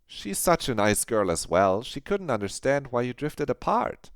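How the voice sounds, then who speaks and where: A man voicing a stern but caring middle-aged woman teacher who scolds and probes a quiet student. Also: medium pitch, very animated, moderate pace, dry recording, clean studio sound, voice pushed up. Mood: probing. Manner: disappointed, probing, scolding.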